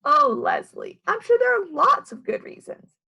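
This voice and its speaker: A woman's voice: extremely high pitch, big pitch swings, moderate pace, gravelly, pushed and bright, voice pushed up, exaggerated voice. A woman voicing a reassuring, exclaiming woman schoolteacher in a storybook. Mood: surprised, reassuring.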